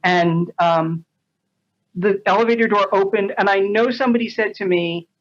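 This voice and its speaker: A man's voice: high-pitched, moderate pace. A man speaking as a podcast guest, chatting casually and a little hesitantly about his work as a translator. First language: English. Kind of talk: casual interview anecdotes. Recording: dull lo-fi audio.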